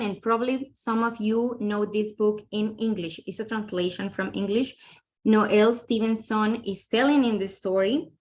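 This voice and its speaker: Woman presenting books, chatty. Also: mid-range voice. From Honduras. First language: Spanish. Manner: enthusiastic, chatty, many fillers. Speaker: book presenter. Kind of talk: webinar book presentation.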